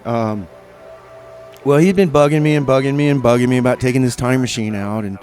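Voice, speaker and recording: A man's voice: mid-range voice, brisk, darkish tone. A man hosting a community radio music show, chatting casually and hesitantly between songs, with many fillers and restarts.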